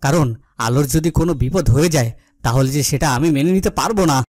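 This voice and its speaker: An actor playing a worried, protective cartoon king who speaks in a formal, measured, authoritative way. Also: on the high side, darkish tone, slight background noise, close to an everyday voice. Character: worried, protective fairytale king, stately and questioning. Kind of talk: dubbed cartoon fairytale acting.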